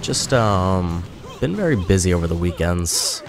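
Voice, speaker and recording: A man's voice: low-pitched, expressive, unhurried, noisy background. A man giving casual, rambling commentary over his gameplay video, sounding a little nasal from a cold. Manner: rambling, self-correcting, chatty. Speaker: gaming YouTuber. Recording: music playing behind.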